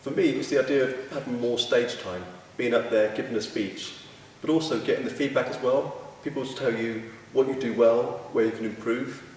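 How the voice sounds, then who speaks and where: A man with a monotone voice asking and answering interview questions in plain, easy speech. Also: medium pitch, brisk, a little hiss or hum. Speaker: club member. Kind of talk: casual interview. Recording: echoey hall from far off.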